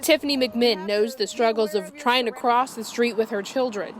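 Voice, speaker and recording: Higher-voiced woman news reporter. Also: moderate pace, background noise. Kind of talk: scripted news package narration.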